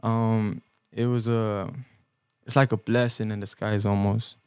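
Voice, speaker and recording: A young man born in El Salvador and raised in the US Midwest, a guest musician giving earnest, halting answers on a podcast, full of ums and restarts. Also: on the low side, little pitch movement, a little mellow, clean tone, dry recording, clean studio sound. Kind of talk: reflective podcast interview answers. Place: podcast studio.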